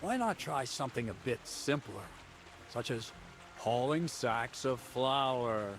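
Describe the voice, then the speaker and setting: An actor playing a gruff middle-aged miller who bosses a newcomer around with dry mockery, in a game with steady background noise. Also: male voice, medium pitch, slow. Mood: mocking. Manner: dry, mocking, bossy.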